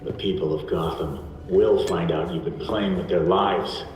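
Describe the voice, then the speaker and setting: Deep, brooding vigilante. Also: male voice, fairly even intonation, measured pace, darkish tone. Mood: accusing. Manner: blunt, accusing, intense. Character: brooding, defiant young male vigilante. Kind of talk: dramatic video game cutscene acting. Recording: echoey hall with long reverb.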